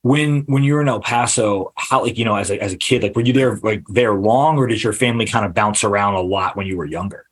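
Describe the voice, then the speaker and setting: A man hosting a podcast interview, asking long, halting questions full of restarts and fillers. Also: fairly low pitch, breathy edge. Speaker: podcast host. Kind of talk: casual interview.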